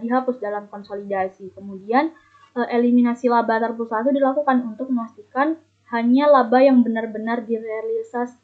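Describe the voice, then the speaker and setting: A narrow, phone-like recording carries a young woman with a fairly high voice. She gives an explanatory student presentation, moving step by step and sometimes stumbling as she reads out figures. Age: young adult. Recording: muffled, phone-like narrow band.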